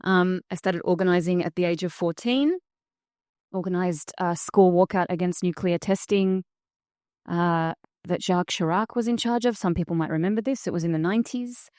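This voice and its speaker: Woman raised in Australia, a bit low, smooth, dry close sound, hesitant casual answers. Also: moderate pace, a little mellow, dry recording. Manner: hesitant, with many fillers. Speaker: panel speaker. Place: conference hall.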